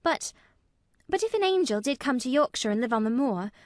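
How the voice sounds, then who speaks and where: Woman voicing an earnest, high-pitched, blunt little girl. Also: animated, a little fast, dry recording, clean studio sound. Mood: frank. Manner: frank, matter-of-fact, emphatic. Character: earnest, blunt young girl, solemn and indignant. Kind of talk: acted storybook dialogue.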